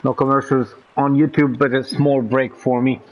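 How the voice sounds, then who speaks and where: A man with a cold commentating a sim racing league broadcast, rising in pitch when the action heats up. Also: level tone, moderate pace, narrow, tinny audio, slight background noise. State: has a cold. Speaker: race commentator.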